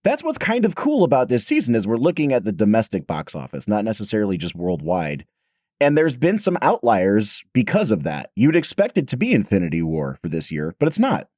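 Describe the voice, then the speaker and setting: A man in his thirties hosting a podcast in casual chat, his voice hoarse and raspy, telling stories in a chatty, self-deprecating way. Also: fairly low pitch, wildly swooping pitch, fairly quick, a little mellow, dry recording, clean studio sound. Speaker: podcast host.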